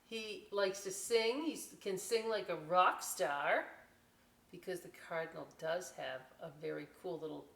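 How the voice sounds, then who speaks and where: A roomy, slightly distant recording carries an American woman's lowish voice. She leads a story time for children, reading a picture book with expression. She often wanders into folksy, chatty explanations of the words and pictures. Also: measured pace, vocal fry, a bit bright. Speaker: library story time presenter. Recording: roomy echo, distant microphone.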